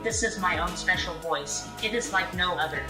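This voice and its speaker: A woman's voice: on the low side, moderate pace, roomy sound, far from the mic, music in the background. A synthetic woman's sample voice reading short phrases.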